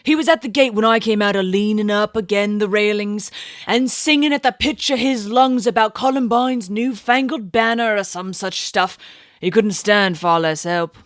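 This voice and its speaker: A performer acts out a London beat constable from a dramatized storybook. The figure is working-class, superstitious and quick to take offence, rambling on in colloquial speech with dropped g's. The voice sits at a medium pitch in a dry recording space. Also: a bit bright, no room sound, lightly acted. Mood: amused, recounting. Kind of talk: dramatized audiobook reading.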